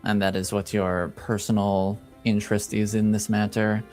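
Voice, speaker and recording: A man playing a reserved, dignified noble in a tabletop fantasy game, speaking formally and deliberately in short, probing phrases. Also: on the low side, flat delivery, darkish tone, faint music behind, natural voice. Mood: guarded, probing. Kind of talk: improvised in-character tabletop roleplay.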